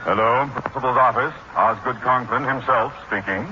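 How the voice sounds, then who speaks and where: An actor plays a pompous middle-aged man, a testy school principal with no patience. It is 1940s radio sitcom acting, on a dull recording buried in loud noise. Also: medium pitch, moderate pace, close to an everyday voice. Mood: pompous, irritated.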